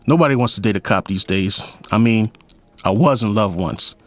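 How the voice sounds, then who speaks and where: A man's voice: on the low side, normal speed, no echo, lightly acted. A man playing a lonely, wry, middle-aged police captain who narrates his story in an audio drama. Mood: wistful. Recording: faint music behind the voice.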